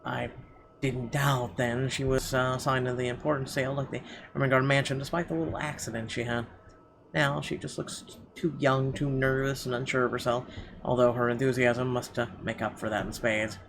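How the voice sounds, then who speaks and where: Man, medium pitch, game streamer, reading narration aloud, halting, blunt casual commentary, noticeable background noise. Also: little pitch movement. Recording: clearly audible background noise.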